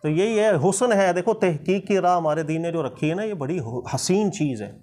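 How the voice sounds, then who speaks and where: A man's voice: sits high, lively intonation, fairly quick, darkish tone. A man with a Pakistani accent, a religious teacher explaining points emphatically in informal discussion, often repeating himself for stress. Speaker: Islamic scholar and teacher.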